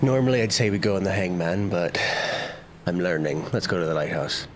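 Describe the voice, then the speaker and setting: A man with a low voice plays a tabletop game, chatting casually and roleplaying his character. Guitar music plays clearly behind him. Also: animated, brisk. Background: plucked guitar music.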